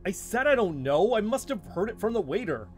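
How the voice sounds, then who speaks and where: A woman's voice: medium pitch, big pitch swings, brisk, soft background music, lightly acted. A woman playing a defensive, evasive podcaster in a naturalistic audio drama. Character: defensive podcaster evading colleagues' questions.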